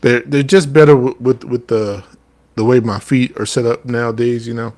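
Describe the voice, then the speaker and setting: A man's voice: mid-range voice, fairly even intonation, moderate pace, a little mellow. A man hosting a podcast, chatting in an easygoing, agreeable way. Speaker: podcast host. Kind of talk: casual chat.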